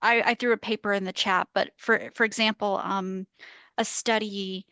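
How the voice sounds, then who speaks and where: A woman researcher speaks in an academic round-table discussion over a video call. Her voice has a noticeable creak, and the sound is close and dry with no room echo. She talks hesitantly, restarting her sentences and filling pauses. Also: mid-range voice, fairly even intonation, sharp tone. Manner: hesitant, frequent restarts and fillers.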